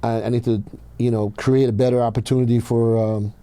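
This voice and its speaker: A man's voice: mid-range voice, flat delivery, brisk, warm tone, smooth, no room sound. A man from Iran telling personal stories casually as a podcast host, blunt and swearing freely.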